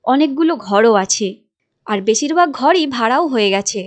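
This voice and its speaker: A woman's voice: fairly high pitch, lively intonation, moderate pace. A woman narrating a cartoon moral tale in a scripted storytelling style.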